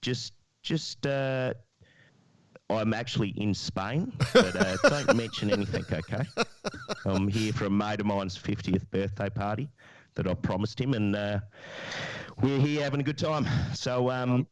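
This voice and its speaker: A man with a fairly low voice banters casually as a podcast co-host. His delivery is cheeky and tongue-in-cheek, and the sound is dry with very little room. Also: measured pace, dry recording, poor audio.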